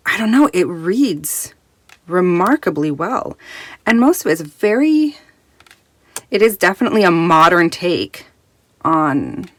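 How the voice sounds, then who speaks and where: A woman's voice: lowish voice, a bit bright. Chatty woman rambling in her closet. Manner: chatty, rambling with many restarts and fillers. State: recovering from losing her voice. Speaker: tarot deck reviewer. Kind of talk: casual chat. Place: quiet closet at home.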